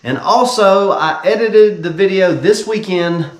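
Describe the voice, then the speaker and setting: A man's voice: sits high, unhurried, echoey room, rough recording. Stuffy-sounding man, casual workshop voiceover. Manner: easygoing, talks straight to "you guys". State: stuffy, congested from being sick. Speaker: host of a garage build channel.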